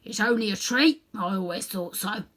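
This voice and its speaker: A woman voicing a stubborn, dull-witted warthog in a storybook, flat and dismissive. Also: mid-range voice, normal speed, close to an everyday voice.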